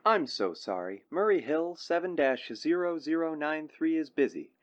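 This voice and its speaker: A man playing brisk, officious officials on the telephone in a radio thriller, formal and clipped, with a dull, band-limited sound. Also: on the high side, expressive, natural voice. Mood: flatly polite. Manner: formal, clipped, dismissive politeness.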